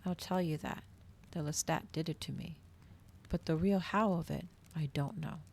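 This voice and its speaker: A woman voicing a cold, demanding child vampire girl in a storybook, with hushed, insistent questioning. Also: low voice, moderate pace, creaky. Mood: strained, uncertain. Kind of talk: ASMR storybook character reading.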